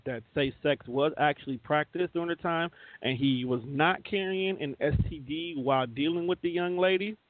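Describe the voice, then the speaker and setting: A man hosting a radio show with casual, rambling, colloquial celebrity-news banter and some swearing. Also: on the high side. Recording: thin phone-like sound, roomy echo.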